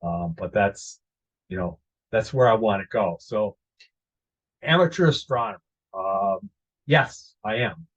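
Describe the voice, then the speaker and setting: A middle-aged man from North Dakota walks through his slides, stopping and restarting with frequent ums. His voice sits fairly low. The webinar audio is dull and lo-fi. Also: lively intonation, measured pace, pauses often, slightly rough. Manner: hesitant, many ums and restarts. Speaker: guest presenter, astrophotographer.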